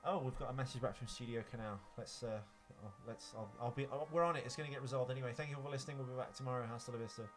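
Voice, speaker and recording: A man's voice: mid-range voice, racing, hardly any pauses, music in the background. A man co-hosting a casual podcast, talking informally with dry, terse banter. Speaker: podcast co-host. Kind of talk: casual film podcast chat.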